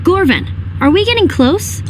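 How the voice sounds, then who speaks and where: High-voiced earnest teen girl. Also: very animated, moderate pace, heavy background noise. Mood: curious. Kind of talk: video game cutscene acting. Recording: loud music over voice. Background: loud game soundtrack music.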